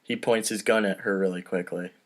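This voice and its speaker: A man's voice: deep voice, fairly even intonation, brisk. Casual man narrating a comedy script. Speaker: podcast host, table-read narrator.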